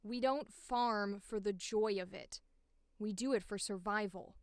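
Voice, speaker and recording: A woman voicing a polite but curt teenage farm girl in measured, enunciated audition lines for a game. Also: medium pitch, dry recording, studio quality, close to an everyday voice. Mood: curt, guarded. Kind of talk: video game audition line reading.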